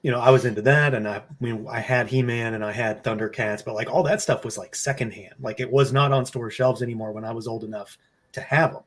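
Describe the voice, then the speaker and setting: A man's voice: mid-range voice, quick pace. Rambling man in his late thirties. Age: 30s. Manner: relaxed, rambling with many fillers. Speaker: guest toy collector. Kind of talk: casual chat.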